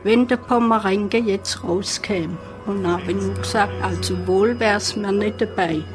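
Female interviewee, medium pitch, spontaneous reminiscence, light music underneath. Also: a little slow. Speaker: interviewee recalling local history. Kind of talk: spontaneous anecdote.

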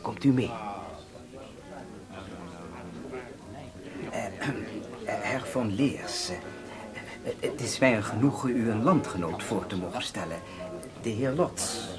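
An actor playing a jovial, obliging hotel host in a radio drama, formal, fussy and hesitant. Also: male voice, lowish voice, unhurried, over music, natural voice.

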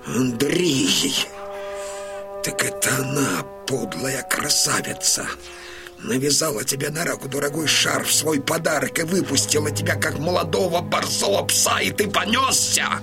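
An actor playing a fierce, grieving old Cossack colonel and father in a theatrical audio drama, declaiming with heavy pauses. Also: on the high side. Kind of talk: theatrical radio drama monologue. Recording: studio drama with loud music behind.

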